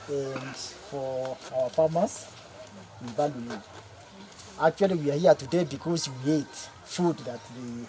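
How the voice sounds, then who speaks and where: A man speaks in a slightly high, mellow voice, earnestly thanking and urging on a group. There is faint noise in the recording. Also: rough recording. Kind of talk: informal thank-you speech.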